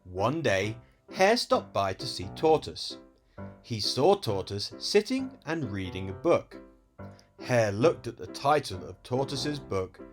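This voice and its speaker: A man reading a children's story aloud as host and storyteller, in an expressive, explanatory way. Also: mid-range voice, slow, faint music behind.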